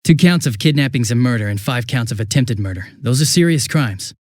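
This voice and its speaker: A man's voice: medium pitch, expressive, a little fast, a little mellow, no room sound, clean studio sound, close to an everyday voice. A man voicing a goofy, chatty, hapless young police detective in a video game, with a casual, flustered, rambling manner. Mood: concerned, gentle. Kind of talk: English video game voice acting.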